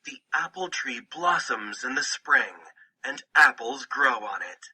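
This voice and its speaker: Guy reading a kids picture book slow n deliberate, really sharp bright voice, animated pitch. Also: husky, rough recording. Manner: deliberate, storytelling phrasing in short sentences. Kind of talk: scripted children's picture book narration.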